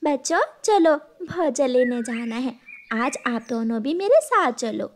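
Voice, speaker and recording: A woman's voice: high-pitched, animated, a little slow. A woman voicing a caring but strict mother bird in a cartoon story, with a motherly, instructing manner.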